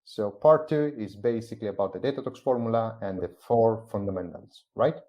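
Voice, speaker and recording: A man from Greece, a company's chief growth officer, presenting a business book in a measured way with frequent "uh" fillers. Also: on the low side, muffled recording. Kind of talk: semi-scripted presentation on a live stream.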